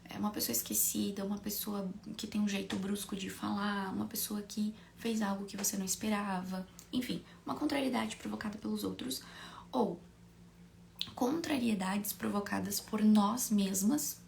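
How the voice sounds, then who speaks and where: A Brazilian woman, slightly hoarse with a cold, teaching an informal online class in a conversational, explanatory way with frequent tag questions and rhetorical questions. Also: mid-range voice, moderate pace, darkish tone, slight background noise.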